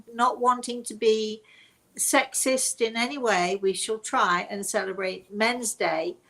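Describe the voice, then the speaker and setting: A woman's voice: mid-range voice, creaky, fairly bright tone. An older woman with a British accent, hosting a casual, chatty online talk and rambling from one story to the next. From Britain. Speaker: host. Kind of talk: casual chat to online viewers.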